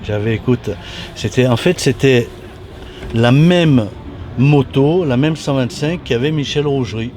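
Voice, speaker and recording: A man in his sixties with a mild Toulon accent, a former racer recalling his past in a halting, restart-filled way. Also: mid-range voice, darkish tone, a little hiss or hum. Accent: mild Toulon southern French. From Toulon, southern France. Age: 60s. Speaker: former motorcycle racer, guest. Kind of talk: casual interview reminiscence. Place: at a racing circuit.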